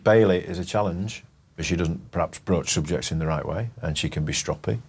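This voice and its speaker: A man's voice: sits low, a little mellow, husky. A middle-aged man with a Yorkshire accent, a head teacher speaking firmly and plainly about his school and pupils. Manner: firm, plain-spoken, measured. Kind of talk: documentary interview and school talk.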